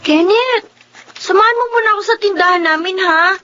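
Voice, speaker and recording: A woman's voice: very high voice, fairly quick, pushed and bright, faint music behind. A woman with a Filipino accent playing a chatty, inquisitive schoolgirl in a radio drama. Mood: chatty, eager. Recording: muffled, dull audio.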